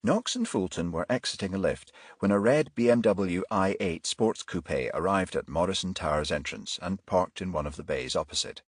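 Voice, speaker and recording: A man's voice: deep voice, expressive, normal speed, hardly any pauses, breathy edge, no room sound, studio quality. A man reading a crime novel as an audiobook narrator in a scripted storytelling style.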